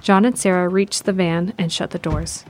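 A woman's voice: on the low side, fairly even intonation, moderate pace, darkish tone, a little hiss or hum. A woman reading scripted narration for an audio drama as its narrator. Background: music.

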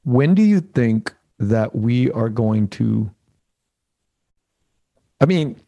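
A man hosting a casual interview podcast, speaking earnestly and haltingly as he searches for his words. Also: medium pitch, expressive, creaky, dark tone, lo-fi sound. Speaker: podcast host.

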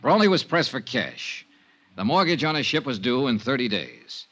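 An actor playing a tough, wry insurance investigator in a 1950s American radio drama, clipped and matter-of-fact. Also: male voice, mid-range voice, sing-song, moderate pace, bright, forceful tone. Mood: assured, reasoning. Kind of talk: 1950s radio detective acting. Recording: vintage 1950s radio broadcast.

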